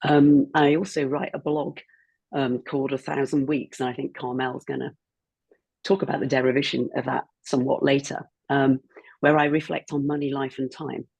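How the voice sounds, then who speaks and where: A woman's voice: rumbling low, level tone, moderate pace, vocal fry, darkish tone, breathy edge, no room sound, poor audio. A woman in her sixties, a former financial planner with a cold, speaking reflectively as a guest on an online panel. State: croaky from a cold.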